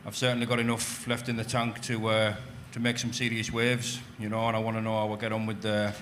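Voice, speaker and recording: Man, late 30s, fighter, casual, flat, press conference. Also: lowish voice, brisk, a little hiss or hum. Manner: casual, fills with "you know". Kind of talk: self-introduction at a press conference.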